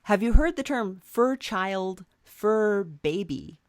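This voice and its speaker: Slow-talking woman podcast host. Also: medium pitch, animated, no room sound. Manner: dry, playful, rambling with fillers. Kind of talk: improvised comedy interview.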